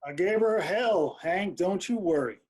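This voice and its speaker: A man's voice: high-pitched, animated, a little slow, darkish tone. A man playing a sleazy band manager in his mid-sixties, with a folksy drawl, in a screenplay table read. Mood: pleading, evasive. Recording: remote virtual table read.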